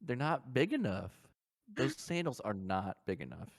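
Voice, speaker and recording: A man's voice: medium pitch, very animated, normal speed, dry recording, clean studio sound. A man co-hosting a gaming podcast, chatting casually in a teasing, colloquial, joking way. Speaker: podcast co-host. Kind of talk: casual banter about video games.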